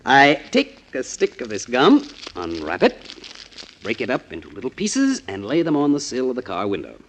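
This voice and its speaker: An actor playing a wry, sardonic sports writer sleuth with an American accent, in 1940s radio crime drama style. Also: male voice, medium pitch, huge pitch swings, normal speed, a little hiss or hum. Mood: breezy, sardonic. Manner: wisecracking, sardonic. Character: wry, breezy, hard-boiled male sports writer sleuth. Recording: old 1940s radio recording.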